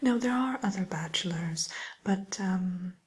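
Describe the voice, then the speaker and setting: A woman playing a polite, discreet matchmaker for villains in a whispered ASMR roleplay, speaking softly and breathily with tactful, hesitant, gently dry delivery. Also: mid-range voice, measured pace, slight background noise, natural voice. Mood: tactful, wry.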